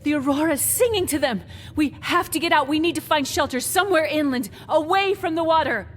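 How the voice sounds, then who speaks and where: A woman's voice: high-pitched, a little fast, lightly acted. An actress playing a distraught, insistent woman doctor warning townspeople in a video game drama. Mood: shaken, urgent. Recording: echoey hall with background noise.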